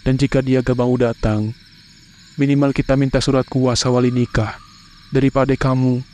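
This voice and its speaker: A man's voice: medium pitch, level tone, moderate pace, darkish tone, lightly acted. A man playing a worried, weary young fiancé in a horror cartoon, with an Indonesian accent. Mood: concerned, insistent. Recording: clear insect and cricket noise behind. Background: night insects, crickets, ambience.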